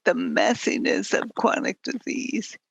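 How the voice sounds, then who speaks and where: Woman with a fading whispery voice, really gravelly and husky, talks slow and halting. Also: mid-range voice, very animated, no room sound. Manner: slow, halting, breathy with long pauses. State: voice weakening, often whispered. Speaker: host of a conversation series. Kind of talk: candid group conversation.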